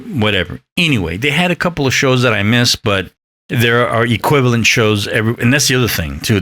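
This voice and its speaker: A man's voice: lowish voice, sharp tone, no room sound. A man in his fifties hosting a podcast, rambling in a casual, profane and emphatic way. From Mexican heritage. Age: 50s. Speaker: podcast host. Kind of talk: casual solo podcast talk.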